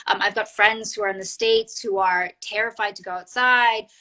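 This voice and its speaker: A woman's voice: mid-range voice, steady tone, moderate pace, bright, forceful tone. A woman interviewing an author, asking long, thoughtful questions with many hedges. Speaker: interviewer, co-host. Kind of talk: conversational interview.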